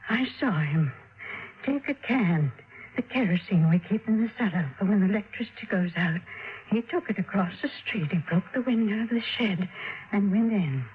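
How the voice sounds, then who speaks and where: An actress playing an anguished woman confessing her fears in 1950s radio drama, urgent and halting. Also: mid-range voice, animated, natural voice. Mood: urgent, fearful. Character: anguished married woman confessing fear of a wicked man. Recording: tinny, band-limited old radio recording.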